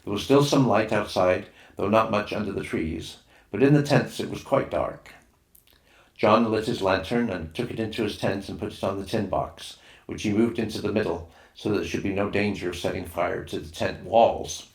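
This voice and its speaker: A man's voice: lowish voice, normal speed, creaky. A man reading a children's adventure novel aloud as scripted narration. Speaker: audiobook reader. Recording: roomy, echoing room sound.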